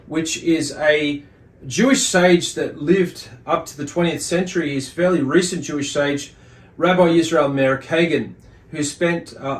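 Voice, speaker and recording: A man hosting a religious study lesson, explaining and reading commentary in a halting, deliberate way with pauses and repeated words. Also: a little high. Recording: echoey room sound.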